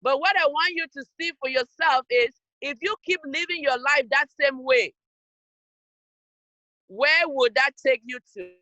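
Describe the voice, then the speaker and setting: A woman's voice: high voice, a little slow, pushed and bright, no room sound, lo-fi sound. A woman from Cameroon, giving an emphatic, scolding motivational talk to young people over a video call. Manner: emphatic, scolding, direct to listeners. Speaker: youth program speaker.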